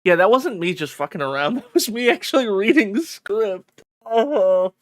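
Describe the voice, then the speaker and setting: A livestreamer chatting casually and excitably with viewers, swearing freely as they banter. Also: mid-range voice, moderate pace, no room sound, studio quality. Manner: excitable, profane, bantering with chat. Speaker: streamer. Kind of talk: casual livestream chat and reading a visual novel aloud.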